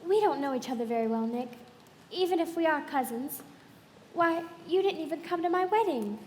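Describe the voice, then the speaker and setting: An actress playing a gushing, languid young socialite wife with an affected, theatrical manner in a live radio play. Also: female voice, high-pitched, lively intonation, fairly quick, a little mellow. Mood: wistful. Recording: echoey hall with faint noise.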